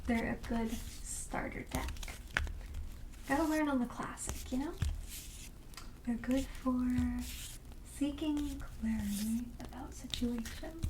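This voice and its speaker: A friendly, slightly morbid witch shopkeeper played by a woman in an ASMR roleplay, with a high, mellow, breathy voice. She speaks slowly and softly, often slipping into a whisper as she gently coaxes a customer toward her curiosities. The sound is roomy and echoey. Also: noisy background. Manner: gentle, coaxing sales patter with casual hesitations.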